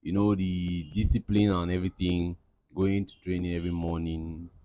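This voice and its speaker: Very deep-voiced young man, rambling. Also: flat delivery, normal speed, dark tone. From Cameroon. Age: young adult. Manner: rambling, many fillers and restarts. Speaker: interviewee, aspiring footballer. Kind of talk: casual interview answers.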